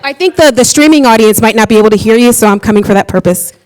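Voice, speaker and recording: Clear, higher-voiced woman hosting a workshop. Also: rapid-fire, darkish tone, low-quality recording. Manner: polite, inviting. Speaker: session co-facilitator. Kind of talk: addressing a workshop audience. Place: in-person conference session room.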